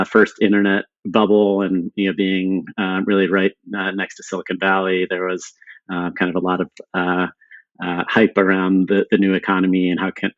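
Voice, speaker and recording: Podcast guest, man, 40s: very low, monotone, halting delivery, dry dull audio. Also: no room sound. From born in Sweden, raised in San Diego. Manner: hesitant, many fillers and restarts. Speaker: podcast guest, company co-founder. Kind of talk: casual interview talk.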